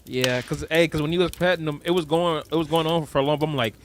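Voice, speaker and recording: A man's voice: on the high side, fairly even intonation, quick pace, slight background noise. A young man co-hosting a podcast, chatting casually and animatedly about a movie, laughing often and swearing. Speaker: podcast co-host.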